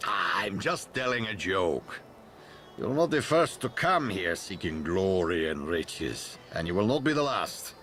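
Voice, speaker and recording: A man playing a proud, stern father and leader in a video game, gravelly, with a theatrical, commanding delivery. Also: low-pitched, very animated, a bit bright, soft background music, full character voice. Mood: jovial, grandiose. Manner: commanding, deliberate, dramatic pauses. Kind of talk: video game cutscene acting.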